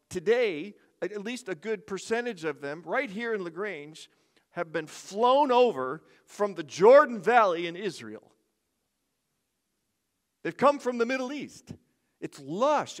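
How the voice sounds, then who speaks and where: Lively older pastor preaching. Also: male voice, high voice. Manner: conversational, joking asides. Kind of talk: sermon. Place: church stage with lectern.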